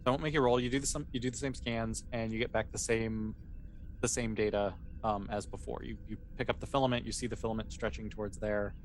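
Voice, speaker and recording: A man narrating a tabletop sci-fi game as game master, haltingly, often restating his phrases. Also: on the low side, fairly even intonation, a little hiss or hum.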